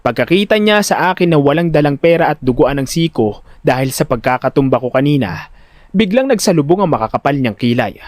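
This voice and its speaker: A man narrating a first-person audio drama in an expressive, suspenseful, scripted storytelling style. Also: a little high, brisk, no room sound, studio quality.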